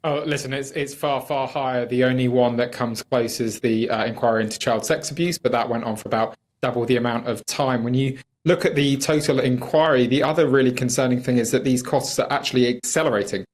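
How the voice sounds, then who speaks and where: British man, political interview guest. Very quick delivery, critical and emphatic, fluent arguer. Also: medium pitch, fairly even intonation. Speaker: campaigner, studio guest.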